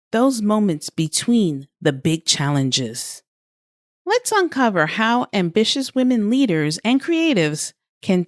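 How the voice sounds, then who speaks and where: Female podcast coach, unhurried, animated pitch, scripted motivational read addressed to the listener. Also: dry recording, clean studio sound. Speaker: podcast host and life coach.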